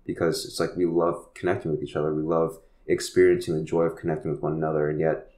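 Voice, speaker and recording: A man's voice: very deep voice, little pitch movement, quick pace, mellow. A man hosting a podcast, speaking earnestly and rambling, often repeating his words. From United States. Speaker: podcast host. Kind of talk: casual conversation. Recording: echoey room.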